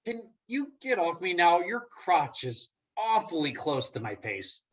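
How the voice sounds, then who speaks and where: Woman playing exasperated sceptic with husky voice. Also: lowish voice, big pitch swings, unhurried, lo-fi sound, exaggerated voice. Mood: angry. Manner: indignant, sarcastic, incredulous. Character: Dave, exasperated, argumentative young man, the reluctant sceptic. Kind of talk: comic script table reading. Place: remote video call.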